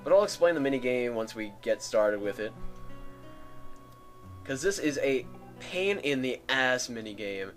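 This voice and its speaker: A man with a dry, raspy throat rambling casually as a let's play host. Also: mid-range voice, expressive, measured pace, pauses often, faint music behind. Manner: rambling, chatty. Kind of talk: casual gameplay commentary. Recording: home mic with static.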